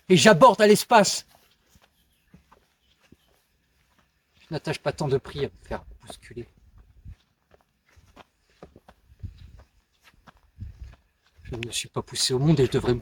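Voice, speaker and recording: A man acting an anguished, bitter and dreamy teenage schoolboy in a declamatory theatrical monologue, swinging between outbursts and hushed musing. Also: mid-range voice, breathy edge. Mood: bitter, defiant.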